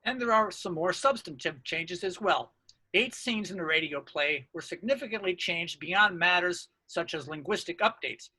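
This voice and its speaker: High, bright-voiced man reading a lecture. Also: animated, normal speed, slightly rough, poor audio. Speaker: academic researcher presenting a paper. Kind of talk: scripted academic lecture narration.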